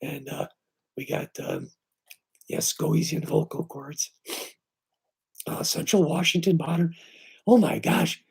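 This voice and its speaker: Hoarse, breathy man with a gravelly voice. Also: fairly high pitch. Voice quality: weak, breathy, raspy voice from damaged vocal cords. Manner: halting, with many fillers and restarts, lively when greeting viewers. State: hoarse and short of breath while recovering. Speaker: live stream host. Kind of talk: casual live stream chat. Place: at home, live streaming. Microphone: computer microphone.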